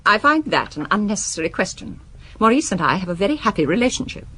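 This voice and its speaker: A woman's voice: mid-range voice, big pitch swings, quick pace, sharp tone, husky, heavily acted. An actress playing a proud, self-possessed Parisian businesswoman in a radio drama, formal, guarded and defensive. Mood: defensive, cool.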